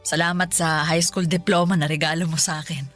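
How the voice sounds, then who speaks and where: An actress playing a loving, selfless middle-aged mother in a Filipino radio drama, reassuring and unhurried. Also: deep voice, little pitch movement, fairly bright tone. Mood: tender, grateful. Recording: music bed under the voice.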